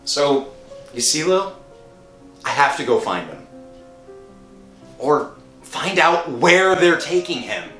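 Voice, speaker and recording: A man playing a frantic, guilt-ridden working-class thief in an old-time radio thriller, agitated and halting as he confesses. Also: a little high, sing-song, sharp tone, exaggerated voice. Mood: guilty, desperate. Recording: roomy echo with music underneath.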